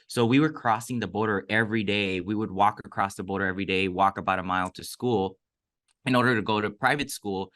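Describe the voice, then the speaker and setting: Podcast guest, male, raised in Mexico, native Cantonese speaker, low voice with a forceful edge, earnest and reflective. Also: brisk. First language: Cantonese (Toisan). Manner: reflective, earnest, many fillers. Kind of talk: casual storytelling interview.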